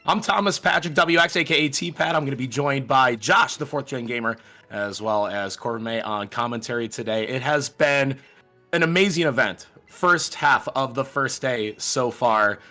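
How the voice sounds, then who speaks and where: Bright-voiced, projecting male host. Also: mid-range voice, moderate pace, faint music behind. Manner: energetic broadcaster's projection. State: fighting off a sickness. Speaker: host and commentator of a speedrun event. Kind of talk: livestream event commentary. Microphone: own microphone at a desk.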